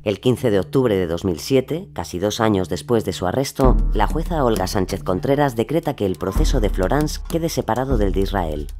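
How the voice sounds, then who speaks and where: A man's voice: fairly low pitch, lively intonation, moderate pace, hardly any pauses, warm tone, soft background music. A man narrating a scripted documentary drama.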